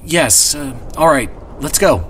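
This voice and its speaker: A man's voice: fairly high pitch, lively intonation, slow, a bit bright, slight background noise. An actor playing a polite but anxious, easily indignant male traveller in a horror audio drama. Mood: uneasy.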